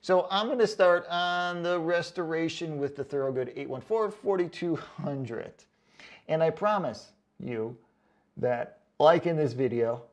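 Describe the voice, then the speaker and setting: Sarcastic, foul-mouthed man with a higher-pitched voice. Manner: sarcastic, mocking, profane. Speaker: online boot review video host. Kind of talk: casual comedic rant reading viewer comments aloud.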